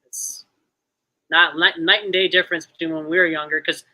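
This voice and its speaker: A man in his thirties in casual chat, talking in a mellow, hesitant way with many fillers. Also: a little high, fairly even intonation, moderate pace, rough recording. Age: 30s.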